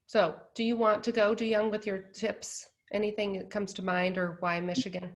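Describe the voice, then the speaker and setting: A woman's voice: medium pitch, little pitch movement, moderate pace. A woman who directs admissions, giving emphatic advice to applicants and repeating her points over a video call.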